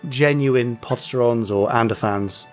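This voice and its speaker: Male podcast host, casual banter, medium pitch, faint music bed. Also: unhurried. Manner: bantering, informal.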